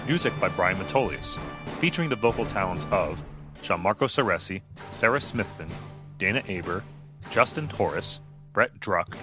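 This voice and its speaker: A man's voice: fairly low pitch, pushed and bright. A man announcing an audio drama in a scripted credit-reading style. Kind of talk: scripted narration.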